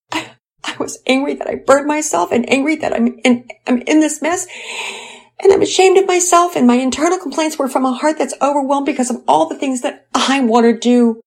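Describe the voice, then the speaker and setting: A tearful, overwhelmed mother speaks in a high, shaky voice, stumbling over her words between sobs, as a woman reading a storybook puts her on. The tone stays husky and breathy throughout. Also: mellow, exaggerated voice. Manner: sobbing, halting and stammering. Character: distraught middle-aged mother, tearful and ashamed.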